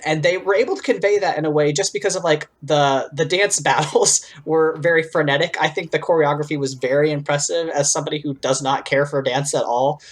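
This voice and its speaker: A man's voice: a little high, fairly even intonation, brisk, few pauses. A man co-hosting a podcast, giving his take in casual, earnest and rambling chat. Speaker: podcast co-host. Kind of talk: casual chat, fan review.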